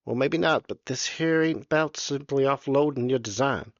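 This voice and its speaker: A man's voice: medium pitch, normal speed, dry recording, lo-fi sound, pitched above the performer's own voice, close to an everyday voice. A man voicing a small, bespectacled male state surveyor, polite and pushy, talking fussily with hesitant "uh"s. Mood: smooth-talking. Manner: fussy, ingratiating, hesitant "uh"s.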